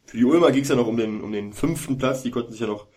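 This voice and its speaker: A man co-hosting a sports podcast, recapping games in a halting way with many filler sounds. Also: mid-range voice, darkish tone. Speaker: podcast co-host.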